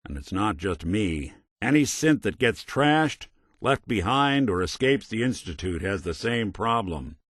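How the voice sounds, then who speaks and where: A man's voice: on the low side, big pitch swings, unhurried, dry recording, clean studio sound. A man playing a world-weary android private detective in a video game, tough but kind, with wry, clipped hardboiled phrasing. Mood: grim, emphatic. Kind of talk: noir detective game dialogue.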